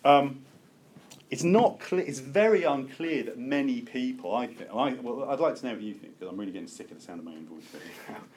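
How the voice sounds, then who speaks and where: A man lecturing to a seminar group, halting and self-correcting as he thinks aloud and opens things up for discussion. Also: mid-range voice, expressive, darkish tone. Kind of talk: academic lecture with open discussion. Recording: noticeable background room noise.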